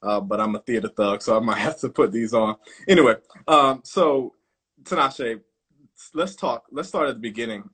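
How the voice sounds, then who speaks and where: Guy hosting a livestream interview, casual n effusive, mid pitched voice. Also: normal speed, fairly bright tone, slightly rough. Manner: informal, effusive, addresses the audience as y'all. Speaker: host of a live online interview show. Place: live video call stream.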